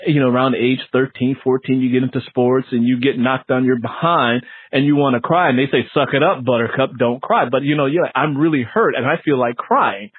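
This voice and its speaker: A man's voice: medium pitch, a little fast, few pauses, slightly rough, phone-quality sound. A man hosting an interview in a casual, conversational way, explaining things and acting out quoted lines as he goes. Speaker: interview host.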